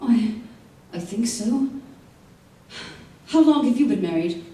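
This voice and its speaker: A woman's voice: a little high, animated, warm tone. Echoey, distant lovestruck scheming woman played by an actress. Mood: earnest. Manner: deliberate, dramatic, confessional. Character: lovestruck, scheming beauty parlor woman telling her story. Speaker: stage actor in an old-time radio play. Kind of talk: old-time radio drama acting and first-person narration. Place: theater stage, live performance. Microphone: stage stand mics, distant camera. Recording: echoey stage from far off, organ music.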